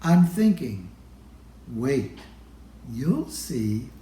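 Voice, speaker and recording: A man reading a children's picture book aloud in a deliberate manner, pausing between phrases. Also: medium pitch, sing-song, warm tone, slight background noise. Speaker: storybook reader. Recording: roomy, echoey room sound.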